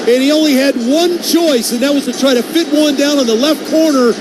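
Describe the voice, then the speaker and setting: A man's voice: very high voice, a little fast, over music, low-quality recording. An American man giving colloquial, explanatory analysis during a live football radio broadcast. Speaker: colour analyst. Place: stadium broadcast booth. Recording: clear stadium noise behind the voice. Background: stadium ambience.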